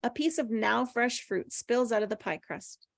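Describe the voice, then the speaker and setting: A woman's voice: a little high, lively intonation, moderate pace, vocal fry, no room sound. A woman reading screenplay stage directions aloud as scripted narration at a table read.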